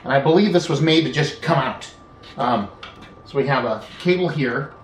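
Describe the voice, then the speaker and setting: A man's voice: medium pitch, expressive. Man talking through a repair, echoey room. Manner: unhurried thinking aloud, trailing pauses. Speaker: hobbyist video presenter. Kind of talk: unscripted hands-on repair walkthrough. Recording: echoey room with faint background noise.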